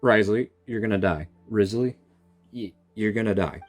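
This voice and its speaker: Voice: mid-range voice, moderate pace, soft background music. A gamer giving casual reaction commentary on a game story, bantering in a teasing, exasperated way.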